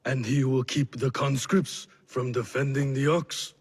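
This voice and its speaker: An actor playing a weary, remorseful leader in a video game, speaking gravely with a husky, gravelly voice and halting delivery. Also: male voice, medium pitch, level tone. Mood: grave, sorrowful. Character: weary, remorseful, solemn male leader doubting his cause. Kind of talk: dramatic video game acting.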